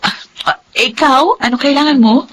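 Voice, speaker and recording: Brisk, upbeat young student. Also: female voice, high voice, a bit bright, a little hiss or hum. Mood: brisk, helpful. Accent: Filipino. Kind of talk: Filipino radio drama acting.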